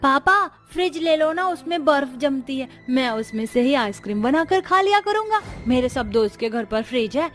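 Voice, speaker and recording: A woman's voice: sits high, animated, normal speed, few pauses, a bit bright, soft background music. A woman voicing a stubborn, whiny young boy in a cartoon story, pleading in a childish way. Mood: eager. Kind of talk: Hindi moral story cartoon dubbing.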